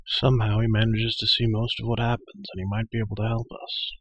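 A man's voice: fairly low pitch, little pitch movement, quick pace, a bit bright, slightly rough, dry recording, highs cut off. A man voicing a stern, authoritative warrior prince in a storybook, speaking firmly and with restraint. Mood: severe, annoyed. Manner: firm, severe, restrained. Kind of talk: storybook character voice.

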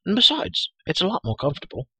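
A man voicing a brisk, self-assured, talkative young girl in a storybook, prim and matter-of-fact. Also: sits high, huge pitch swings, pushed and bright, husky, no echo, pitched above the performer's own voice, full character voice. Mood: prim, patient.

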